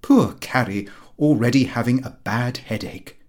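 A man narrating a comic diary in the character of a prim, put-upon, pompous middle-class gentleman. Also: mid-range voice, measured pace. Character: fussy, easily affronted middle-class Victorian gentleman diarist. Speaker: audiobook reader.

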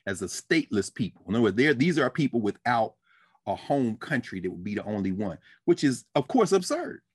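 A middle-aged man co-hosting a casual talk show, an animated storyteller who laughs easily and drops into low asides. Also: brisk, slightly rough, no echo, studio quality. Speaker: podcast co-host. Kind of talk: casual conversational discussion.

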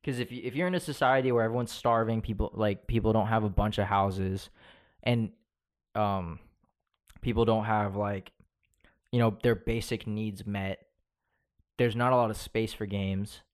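Young man, podcast co-host, lowish voice, smooth, casual, profane, riffing. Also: fairly even intonation, moderate pace, long pauses.

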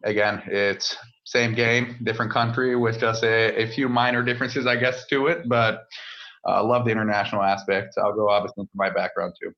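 A man in his thirties, a native French speaker who grew up in the United States near Washington DC, answering interview questions in a relaxed, measured way with many filler pauses. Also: lowish voice, dull audio. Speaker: podcast guest. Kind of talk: conversational interview. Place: home setup abroad, remote call.

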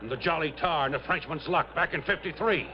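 A man's voice: a little high, a little fast, lightly acted. An actor playing a middle-aged miner mourning his partner in an old radio drama, emotional and folksy, repeating himself. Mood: proud, wistful. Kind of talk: 1950s-60s radio drama acting. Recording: muffled, noisy vintage radio recording.

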